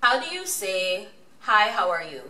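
Young woman, host, bright voice, slow unhurried delivery, roomy distant sound. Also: medium pitch, sing-song. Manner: playful, repeats phrases slowly. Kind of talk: casual language-learning chat. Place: bright indoor lobby, seated on a couch. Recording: roomy, distant mic with faint hum.

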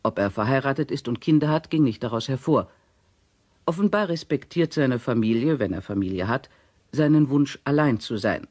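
A man reading a witness statement in a measured, formal, matter-of-fact way as radio play narration. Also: mid-range voice, animated, a little mellow, no room sound.

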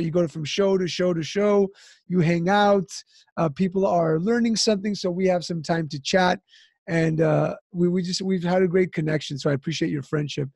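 A man in his thirties hosts a podcast at a close studio mic. His voice is slightly high for a man, with gravelly fry and a husky, mellow tone. The sound is dry and close, and his questions run on in an easy, upbeat way. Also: dry recording. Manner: friendly, upbeat, asks rambling questions. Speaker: podcast host. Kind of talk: interview podcast. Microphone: studio microphone close up.

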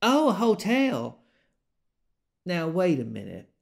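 An actor playing a blunt local in an audio drama, halting and conversational, as if giving directions to a traveller. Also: male voice, sits high, huge pitch swings, vocal fry, heavily acted. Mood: hesitant, doubtful. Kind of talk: naturalistic audio drama monologue.

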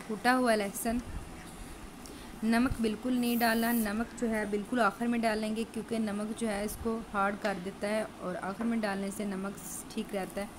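A woman, explaining each step chattily as she cooks at home. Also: mid-range voice. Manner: chatty, explains steps while cooking. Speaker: home cook. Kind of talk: cooking tutorial narration. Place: home kitchen at a stove. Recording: noisy home recording.

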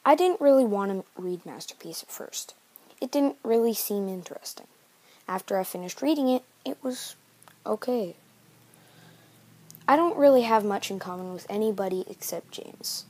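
A woman's voice: mid-range voice, animated, normal speed, a little mellow. A woman reading scripted narration for a homemade book report. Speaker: narrator of a novel study video.

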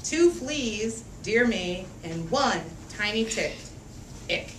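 A woman's voice: mid-range voice, lively intonation, slow pace. A woman reading a picture book aloud to children in a sing-song, emphatic way, drawing out rhymes and putting questions to her listeners. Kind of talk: animated picture-book read-aloud with call-and-response. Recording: roomy, distant mic, faint background noise.

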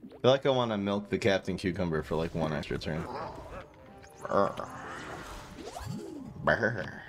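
A man's voice: sits low, expressive, measured pace, music in the background. Chatty man streaming a card game. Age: 30s. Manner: chatty, self-mocking, reads chat aloud. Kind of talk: casual gameplay commentary. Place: small room indoors.

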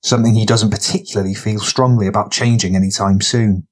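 A man's voice: lowish voice, steady tone, brisk. A man reading scripted fiction narration as an audiobook narrator.

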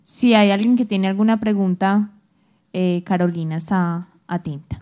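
A young woman presents at an auditorium event. Her voice is mid-pitched and soft-toned, with a formal delivery into a handheld mic. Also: fairly even intonation, normal speed. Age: young adult. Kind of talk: reading announcements. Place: auditorium stage. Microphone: handheld microphone.

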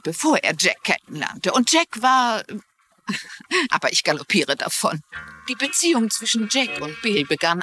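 An actress playing a shrewd, genteel middle-aged mystery writer and amateur sleuth, explaining things articulately in a German-dubbed TV mystery. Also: female voice, on the low side, sharp tone. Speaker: lead character and narrator.

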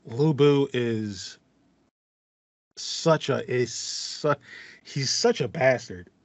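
A man's voice: medium pitch, drawn-out words, no room sound. A man in his thirties co-hosting a casual podcast, talking enthusiastically and repeating phrases for emphasis. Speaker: podcast co-host. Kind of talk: casual chat about video games. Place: small home room with foam panels, indoors. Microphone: headset on a video call.

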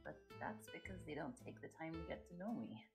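A woman's voice: low voice, big pitch swings, fairly quick. Woman as a shy, stammering cartoon gecko kid. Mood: sad, hurt. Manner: hesitant, stammering. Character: shy, apologetic gentle young gecko monster schoolkid. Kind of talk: amateur live webtoon dub. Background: guitar music.

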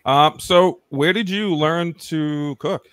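A man's voice: fairly high pitch, slow pace, fairly bright tone, smooth. Host, a man from Brooklyn, friendly questions and short upbeat replies on a studio mic. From Brooklyn, New York. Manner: upbeat short reactions, reads viewer comments. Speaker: live stream host. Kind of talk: casual interview chat. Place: home studio room with neon lights. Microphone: studio mic on a boom arm.

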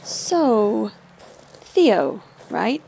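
A woman's voice: a little high, big pitch swings, extremely slow, vocal fry, warm tone, slight background noise, full character voice, poor audio. An actress playing a cautious, practical young female survivor in an audio drama, speaking in a hesitant, guarded way. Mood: guarded, curious.

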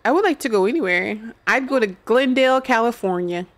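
Young woman streamer, kinda high voice, jokey, laughs a lot, studio mic at home. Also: expressive, brisk. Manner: joking, laughs often. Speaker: streamer host. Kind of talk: casual chat while gaming. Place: small room at home, purple lights. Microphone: studio mic on arm.

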